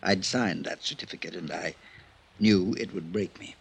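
Radio play doctor: deep husky man, middle-aged, harried, remorseful, halting confessional delivery. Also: exaggerated voice. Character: harried, worn-out village doctor under sixty, remorseful drunk. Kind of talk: old time radio drama acting.

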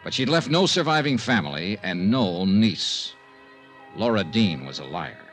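An actor playing a hard-boiled, dryly wisecracking investigator in an old-time radio mystery. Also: male voice, lowish voice, very animated, measured pace, fairly bright tone, faint music behind. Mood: suspicious. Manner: dry, wisecracking. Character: wry, hard-boiled male investigator narrating his case. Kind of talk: 1950s radio detective acting and narration.

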